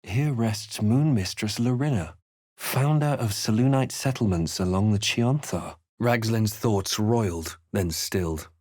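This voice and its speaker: Brooding, low-toned fantasy game adventurer. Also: male voice, unhurried, a little mellow, no room sound, clean studio sound. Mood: grave, haunted. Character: brooding young male fantasy adventurer, wry and grave. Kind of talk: video game character lines and narration.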